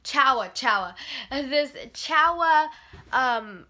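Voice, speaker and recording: A teenage girl with a bright, fairly high voice chats through a casual product review in her bedroom. She sounds congested from a cold and rambles on with plenty of fillers, talking into the camera's own mic. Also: animated, slow. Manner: chatty, rambling, many fillers. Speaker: vlogger. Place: bedroom, indoors.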